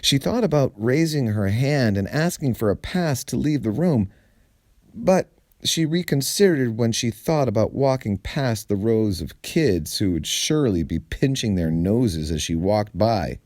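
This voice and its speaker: A man reading a humorous story aloud in an expressive, theatrical way with deliberate phrasing. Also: medium pitch, no echo, clean studio sound.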